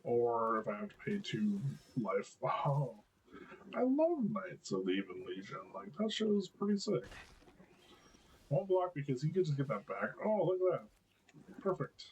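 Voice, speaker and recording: A man with a deep voice giving casual gameplay commentary, thinking aloud with lots of hesitant ums and restarts. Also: very animated, measured pace, darkish tone, dull audio, a little hiss or hum. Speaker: gameplay video creator.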